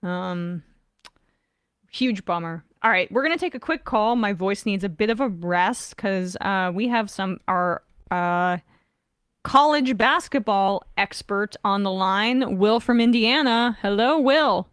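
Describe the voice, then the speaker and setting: Slightly raspy woman hosting sports talk. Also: mid-range voice, lively intonation, unhurried, fairly bright tone, dry recording, studio quality. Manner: conversational, opinionated. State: slightly raspy from not talking. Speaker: podcast host. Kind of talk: casual sports chat.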